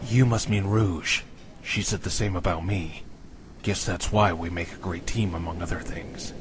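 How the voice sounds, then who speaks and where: A man's voice: sits low, fairly even intonation, moderate pace, noisy background, close to an everyday voice. A man playing a curt, guarded team member in an audio drama, speaking tersely. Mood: dry.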